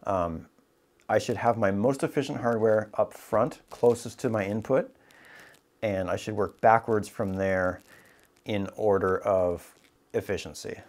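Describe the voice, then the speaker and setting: A man's voice: lowish voice, steady tone, unhurried, darkish tone. A man teaching a climbing rope technique, explaining step by step and checking in with "right?" as he goes. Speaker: climbing instructor. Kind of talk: tabletop demonstration lesson.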